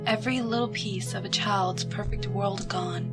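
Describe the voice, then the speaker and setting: A woman giving a hushed, expressive, dramatic reading of a suspense story, with music behind her. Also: medium pitch, moderate pace. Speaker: fan fiction reader.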